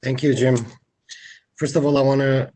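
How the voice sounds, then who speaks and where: A man's voice: mid-range voice, level tone, moderate pace, warm tone, rough recording. A man moderating a panel politely and formally, speaking through a face mask in an office. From North Macedonia. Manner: polite and formal, reads introductions. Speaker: procurement agent, event moderator.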